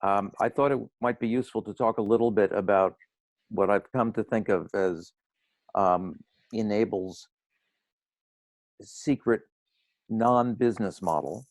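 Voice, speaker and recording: An older man with a hoarse voice from laryngitis, giving an earnest, wry talk on a video call. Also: lowish voice, a little slow, pauses often, dry recording. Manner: earnest, slightly preachy, wry. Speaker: guest speaker. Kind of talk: roundtable talk.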